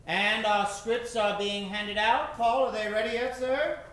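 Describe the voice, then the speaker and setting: A man hosting an old-time radio horror show, narrating in a melodramatic, ominous way with drawn-out dramatic emphasis. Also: high voice, fairly even intonation, fairly bright tone, slight background noise. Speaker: host and announcer of a live radio drama show. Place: live stage show before an audience. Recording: roomy live stage recording with music. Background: music.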